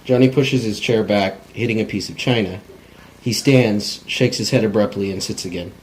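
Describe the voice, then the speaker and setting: A man's voice: fairly low pitch, normal speed, darkish tone, slight background noise, low-quality recording. A man narrating script directions in a plain, unpolished cold read, stumbling now and then. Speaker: narrator reading stage directions.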